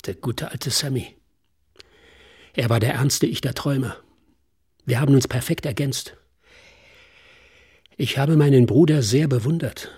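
Wistful hypnotist, radio drama, husky, dark soft timbre, medium pitch, deliberate phrasing. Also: male voice. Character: wistful, self-assured male stage hypnotist. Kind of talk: German radio drama acting.

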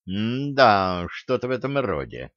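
A prying male investigator as a man reads him in an audiobook, insinuating yet hesitant. He picks his words haltingly and hedges as he probes. The sound is dry and a bit dull. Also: mid-range voice, lively intonation, no room sound, natural voice. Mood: awkward, probing. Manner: halting, mumbling, hedging.

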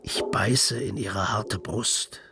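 A man's voice: lowish voice, slow, creaky, music in the background, pitched below the performer's own voice. A male narrator voicing a boastful, deferential former lieutenant eager to impress royalty in a literary radio play. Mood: hushed confiding.

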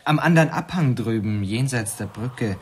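An actor playing a polite, curious young English professor in a radio drama, with a probing manner. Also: male voice, medium pitch, lively intonation, moderate pace, a little hiss or hum, lightly acted. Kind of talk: 1970s German radio drama acting.

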